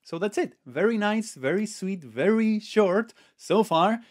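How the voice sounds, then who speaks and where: A man in his fifties giving a methodical, step-by-step lesson to camera as a music teacher, pausing as he explains. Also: high voice, animated. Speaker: music teacher, online video host.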